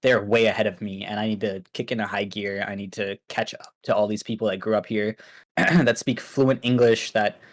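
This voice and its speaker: A man's voice: lowish voice, fairly even intonation, moderate pace. A young man from Pakistan, Urdu his first language, talking loosely as a podcast guest with lots of fillers. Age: young adult. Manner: laid-back, loose, filler-heavy with many likes and ums. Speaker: guest streamer. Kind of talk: casual podcast interview.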